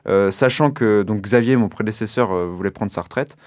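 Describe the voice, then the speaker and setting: A man's voice: on the low side, moderate pace, a little mellow, dry recording, studio quality. A man in his late twenties from western France, telling his own story as an interview guest in informal, colloquial speech. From Maine-et-Loire, western France. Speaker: interview guest, artisan baker.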